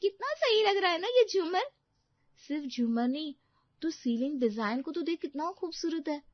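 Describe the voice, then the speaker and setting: A woman's voice: very high-pitched, animated, normal speed, no room sound, pitched above the performer's own voice. A woman voicing a demanding, spoilt young bride-to-be in an audio story, fussy and gushing. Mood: dreamy, demanding. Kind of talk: Hindi audio story acting. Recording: slightly dull, dry studio recording.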